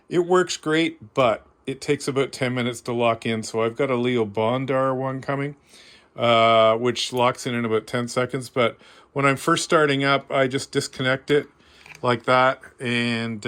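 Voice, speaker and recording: A man's voice: medium pitch, a bit bright. A man with a Canadian accent giving a casual, unscripted hobbyist walkthrough in a modest, self-deprecating way. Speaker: amateur radio hobbyist giving a tour.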